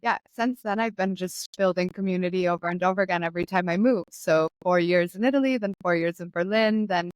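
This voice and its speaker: A woman's voice: lowish voice, fairly quick, hardly any pauses, no room sound. Young woman host, casual and chatty with easy laughs, dry close-mic sound. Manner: relaxed and chatty, laughs often. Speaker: podcast host. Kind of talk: casual conversational chat.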